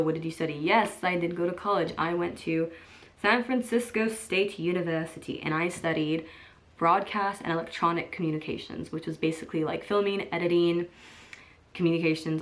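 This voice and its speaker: A young woman in her twenties chatting casually to camera in a get-to-know-me vlog, full of "like" and "um" and quick laughs. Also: on the low side. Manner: chatty, lots of "like" and "um", laughs easily. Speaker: vlogger.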